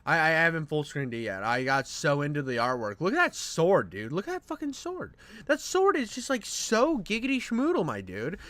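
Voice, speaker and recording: A man's voice: fairly high pitch, wildly swooping pitch, moderate pace, hardly any pauses, fairly bright tone, no room sound. Slangy guy doing reaction commentary, swings up and down a lot, rambly n crude, dry close sound. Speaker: reaction video host.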